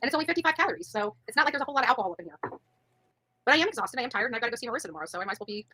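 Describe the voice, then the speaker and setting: A woman's voice: on the high side, animated, rapid-fire, sharp tone, dry recording. A woman in her forties chatting bluntly and brashly on a livestream panel, laughing often. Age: 40s. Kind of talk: casual livestream chat.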